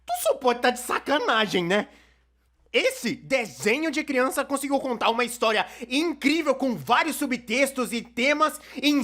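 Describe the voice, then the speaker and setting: A man's voice: very high voice, sharp tone, full character voice. A man with a Brazilian accent dubbing a casual, wry young storyteller in scripted video commentary. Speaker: dubbed narrator of an animated opinion video.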